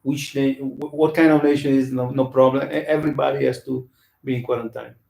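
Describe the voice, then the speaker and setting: A man in casual chat, speaking haltingly and searching for words. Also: mid-range voice, flat delivery, gravelly, darkish tone. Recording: echoey room.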